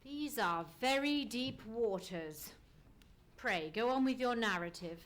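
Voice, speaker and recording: Radio-play detective played by a woman: keen, commanding, formally inquisitive, fairly high voice with very varied intonation. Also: expressive, slow, a bit bright. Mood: grave, decisive. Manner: crisp, formal questioning. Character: keen, probing, authoritative Victorian consulting detective. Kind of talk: live old-time radio drama acting. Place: theatre stage.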